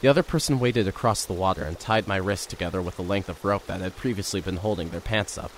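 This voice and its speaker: A man's voice: low-pitched, fast, a little hiss or hum, poor audio. A man narrating an audio drama in the first person and playing a nervous reporter, with an earnest, wry delivery.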